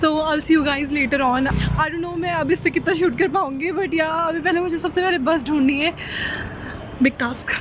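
A woman's voice: high-pitched, moderate pace, background noise. A woman, chatting upbeat to a handheld camera on a bus journey. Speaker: travel vlogger. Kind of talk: casual on-camera travel vlog, Hindi-English mix. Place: inside a bus and at roadside stops. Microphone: handheld camera at arm's length.

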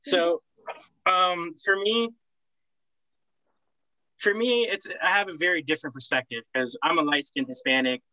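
A man's voice: a little high, measured pace, long pauses, sharp tone, no room sound, rough recording. A young man whose first language is Spanish, explaining earnestly with many restarts on a panel video call. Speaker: panelist, business owner. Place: indoor room. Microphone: computer on a video call.

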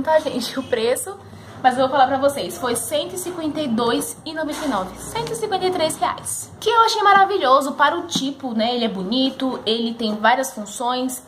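High-pitched young São Paulo Brazilian woman. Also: fairly bright tone, a little hiss or hum. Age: 20s. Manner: chatty, enthusiastic, addresses viewers as friends. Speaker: beauty vlogger. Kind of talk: casual chatty product review to camera. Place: living room at home, indoors.

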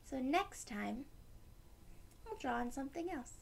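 A young woman reading a picture book aloud to children, gently explaining it in simple words. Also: sits high, big pitch swings, measured pace, creaky. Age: young adult. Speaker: read-aloud storyteller for children. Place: small room at home, indoors.

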